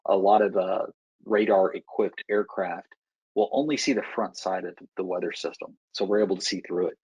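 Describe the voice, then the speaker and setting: An American man working as an air traffic controller, explaining procedures in a measured, instructive way with deliberate pauses. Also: sits low, steady tone, darkish tone, breathy edge, dry recording, muffled recording. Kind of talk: explanatory Q&A talk to pilots.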